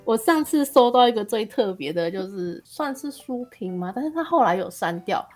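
A woman's voice: on the high side, animated, normal speed, darkish tone, soft background music. A woman with a Taiwanese Mandarin accent chatting casually as a podcast guest. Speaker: self-publishing novelist on a podcast. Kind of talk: casual animated chat.

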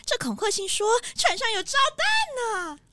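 A woman playing an anxious, excitable cruise passenger who frets and exclaims in a children's mystery audio drama. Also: very high voice, moderate pace, no echo, exaggerated voice. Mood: worried. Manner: fretful exclaiming.

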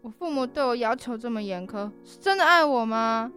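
女生演的高音煩惱少女，誇張哀怨. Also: female voice, fairly high pitch, lively intonation, a little fast, fairly bright tone, smooth, faint music behind. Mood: fretful, exasperated. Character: anxious young woman fretting over friends and love. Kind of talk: dramatized podcast jingle monologue.

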